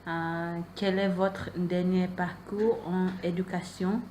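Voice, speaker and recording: Young woman, non-native speaker from a Malay background, hesitant interviewer, slow pace, mellow low voice, faint noise. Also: level tone. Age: young adult. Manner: hesitant, many fillers, repeats answers back. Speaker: student interviewer. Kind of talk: hesitant scripted interview questions.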